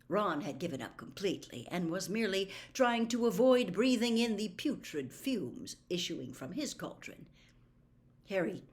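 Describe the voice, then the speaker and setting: A woman reading a novel aloud as a storyteller, with deliberate, dramatic and expressive narration. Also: mid-range voice. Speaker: audiobook reader.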